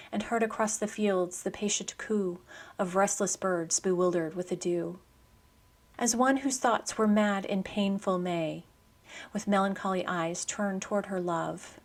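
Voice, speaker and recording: Low-pitched woman reading literary radio script. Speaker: poetry program host. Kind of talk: scripted narration and poetry reading.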